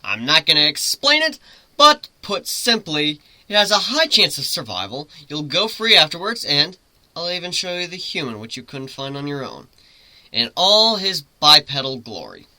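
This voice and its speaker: A man voicing a brash, commanding griffin ship's captain in a story reading, loud, theatrical and taunting. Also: on the high side, big pitch swings, normal speed, no room sound, full character voice. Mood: teasing menace.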